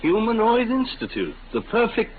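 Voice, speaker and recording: A man's voice: high-pitched, normal speed. An American actor playing an easily exasperated husband and salesman in a 1950s radio drama. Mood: weary grumbling. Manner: blustering, animated. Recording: noisy lo-fi 1950 radio recording.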